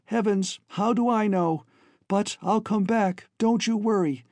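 A man's voice: high-pitched, unhurried, a little mellow, dry recording, studio quality, voice pushed up. A man voicing an earnest, devoted young man in an emotional, pleading audiobook dialogue. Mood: urgent. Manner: choked, emotional, pleading delivery. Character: earnest, devoted young man bidding his family farewell.

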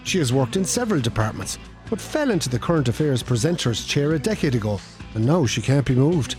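An actor with an Irish accent as a wry, matter-of-fact narrator of a comic radio play. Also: male voice, medium pitch, big pitch swings, a little fast, a little mellow, faint music behind, close to an everyday voice. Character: wry narrator of local radio mockumentary, man. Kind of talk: scripted narration.

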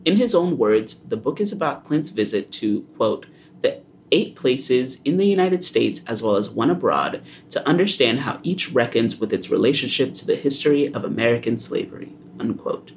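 A young man from Haiti hosting a student podcast, talking in a relaxed way with small laughs and fillers. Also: mid-range voice, moderate pace, a little hiss or hum. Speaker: podcast host.

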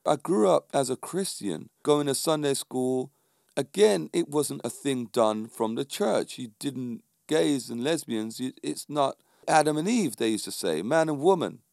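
A middle-aged man with a London accent speaks in a smooth, resonant voice at a medium pitch. He talks informally and with emphasis, like a fitness instructor addressing his viewers, often checking in with short questions. The sound is dry, with very little room. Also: animated, unhurried, a little mellow, no room sound. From London, England. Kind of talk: informal motivational monologue to viewers.

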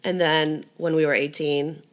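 A woman from Greece with a Greek accent, chatting casually as a guest, outspoken and quick to laugh. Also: low voice, level tone. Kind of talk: casual chat. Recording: remote podcast mic.

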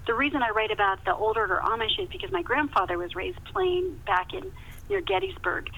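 A woman's voice: sits high, very animated, a little fast, vocal fry. An older American woman, a guest author, chatting enthusiastically in a podcast interview over a muffled line.

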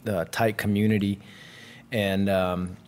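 A man answering interview questions casually, relaxed and filling his talk with "you know" and "I mean". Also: fairly low pitch, takes it slowly. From Santa Cruz, California. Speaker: jiu-jitsu instructor and gym owner.

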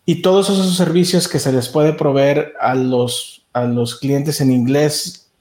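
A man's voice: a little high, fairly even intonation, measured pace, darkish tone, echoey room. A man whose first language is Spanish, a media business owner answering interview questions thoughtfully, with frequent restarts.

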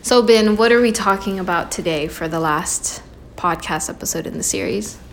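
A woman's voice: mid-range voice, moderate pace. Female podcast co-host from Seattle; upbeat, moderating tone, faint noise behind. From Seattle, United States. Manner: upbeat, laughs easily, moderates. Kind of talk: casual podcast hosting.

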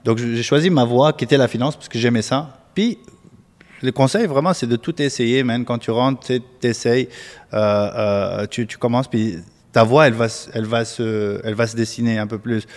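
Male guest, mid-pitched and chatty, filler-heavy advice, echoey room. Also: moderate pace. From Tunisia. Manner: chatty, many fillers, mixes in English words. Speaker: guest mortgage broker. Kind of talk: casual advice talk. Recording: reverberant room.

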